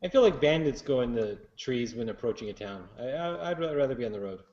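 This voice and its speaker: A man plays a tabletop roleplaying game, chatting casually in a mid-pitched voice that swings widely. The room is echoey and the recording sounds dull. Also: animated, fast, darkish tone.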